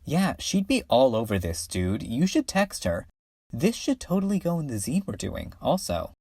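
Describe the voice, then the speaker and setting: A man's voice: medium pitch, big pitch swings, normal speed, gravelly, darkish tone, slightly rough, heavily acted. A man voicing a slangy, enthusiastic geek who rambles about fanfiction in a webcomic fan dub. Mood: eager. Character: casual slangy geek excitedly telling and discussing fanfiction. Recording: music under the voice.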